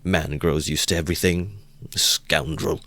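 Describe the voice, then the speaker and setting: A man's voice: sits low, fairly even intonation, unhurried, a bit bright, dry recording. A man voicing a bitter young man muttering sardonically to himself. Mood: sardonic. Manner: sardonic, laughs malignantly.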